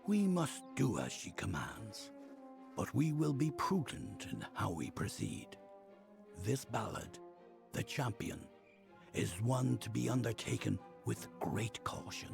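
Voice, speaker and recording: A man acting a solemn, cautious fae storyteller in a video game, speaking in a grave, measured, formal way. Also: medium pitch, animated, creaky, over music. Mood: grave worry.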